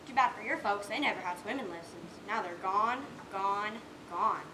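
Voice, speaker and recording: A woman acting a gruff, hard-bitten farm wife on stage, blunt and rustic in her speech, projecting to the house. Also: mid-range voice, moderate pace, lightly acted. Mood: gruff, sardonic. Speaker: student actor in a one-act play. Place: indoor stage, recorded live. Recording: roomy stage recording, faint background noise.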